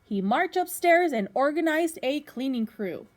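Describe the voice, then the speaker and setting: Female, teacher type, higher-pitched voice, reading a storybook to children and checking in with them. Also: expressive. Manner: pauses to put questions to young listeners. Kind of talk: read-aloud of a children's picture book.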